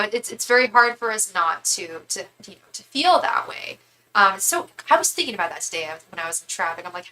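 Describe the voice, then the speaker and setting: Bright-voiced chatty woman in her thirties. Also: mid-range voice, rough recording. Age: 30s. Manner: chatty, rambling, many asides and restarts. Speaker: tarot reader. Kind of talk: live tarot reading for viewers.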